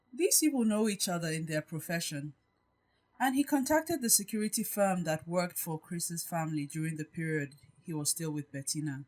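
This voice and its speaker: A woman's voice: lowish voice, a little mellow. A woman playing a poised, self-assured, guarded woman who speaks with composure and deliberation in an audio drama. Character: Gabrielle, poised, self-assured woman behind emotional walls.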